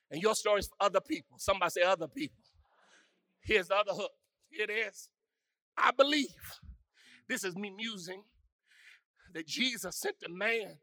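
A pastor preaching a sermon with call-and-response, swinging from hushed musing to shouted, sung climaxes. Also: male voice, sits high, no echo, studio quality. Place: church.

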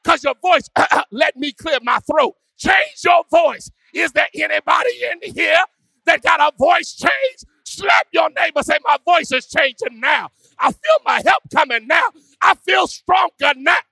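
A pastor preaching a sermon with call-and-response, swinging from hushed musing to shouted, sung climaxes. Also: male voice, very high-pitched, animated, a little slow, vocal fry, dry recording. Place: church.

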